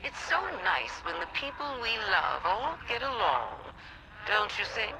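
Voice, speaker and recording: A woman's voice: medium pitch, sing-song, measured pace, heavy background noise. An actress playing a sly, scheming alien witch goddess in a video game, theatrical and silkily taunting. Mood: sly, amused. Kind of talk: video game villain monologue.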